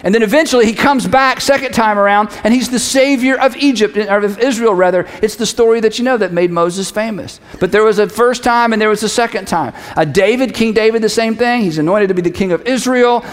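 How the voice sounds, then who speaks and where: A man's voice: high-pitched, brisk, few pauses. A middle-aged man giving a conversational sermon as a pastor, speaking emphatically and repeating key phrases. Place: large hall, preaching to an audience. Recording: reverberant large hall.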